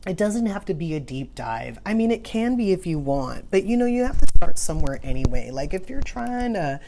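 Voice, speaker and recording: A low female voice talks through an oracle card reading as the host. She sounds informal and reflective, as if chatting to viewers. Faint ambient noise sits behind her. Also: big pitch swings, fairly quick, dark tone. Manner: informal, musing, conversational. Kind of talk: casual rambling card reading, partly read aloud from a guidebook.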